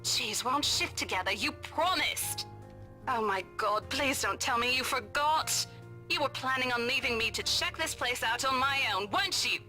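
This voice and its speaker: An actress playing a brash, teasing, sceptical young colleague in a visual novel, with a British accent and a breezy, sassy manner. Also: female voice, high-pitched, expressive, moderate pace, sharp tone, soft background music. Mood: impatient, teasing.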